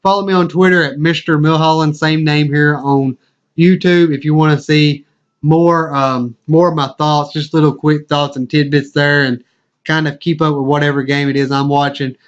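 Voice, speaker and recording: A man with a raspy, worn-out voice that sits a little high for a man. He runs through draft picks casually as a video host, stopping to correct himself. The home recording sounds muffled and lo-fi. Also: flat delivery, moderate pace. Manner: loose, unscripted, self-correcting. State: hoarse, losing his voice. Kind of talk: casual NFL draft commentary.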